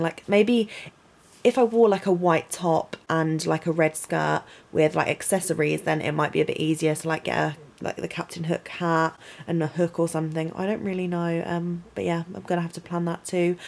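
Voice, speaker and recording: A young woman, a student vlogger, chatting casually in her bedroom with lots of "like" and "um" and a low voice. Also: creaky, darkish tone. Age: young adult. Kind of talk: casual vlog chat.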